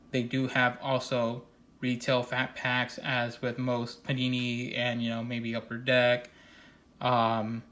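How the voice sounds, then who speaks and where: A man with a slightly stuffy, nasal voice from congestion walks through his trading card pulls. His delivery stays level and even, with little rise and fall. He talks earnestly, pausing on fillers and restarting sentences. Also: mid-range voice. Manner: steady, earnest, repeats opinions with many fillers. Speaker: card collecting channel host. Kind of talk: casual card showcase vlog.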